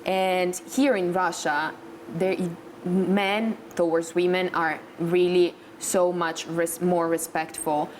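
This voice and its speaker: Young Italian woman, low voice, non-native English with hesitant phrasing, candid interview guest, some background noise. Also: steady tone, takes it slowly. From Italy. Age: young adult. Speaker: talk show guest.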